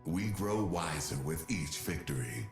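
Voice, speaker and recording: A man voicing an ancient, wise god guardian in a video game, speaking in a grave, measured, formal and patient way. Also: rumbling low, expressive, exaggerated voice. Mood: grave, counselling. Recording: echoing voice over music.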